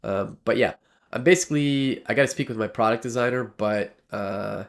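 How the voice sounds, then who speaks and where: A man's voice: medium pitch, normal speed, gravelly. A man with a nasal voice from a cold, talking casually as he streams his coding work, rambling with lots of fillers and restarts. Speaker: livestreaming developer. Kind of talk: casual live coding talk.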